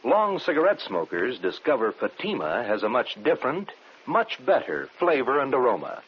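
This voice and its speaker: A man's voice: mid-range voice, huge pitch swings, moderate pace. A man reading a vintage radio commercial in an emphatic, sing-song announcer style. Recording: dull vintage radio recording.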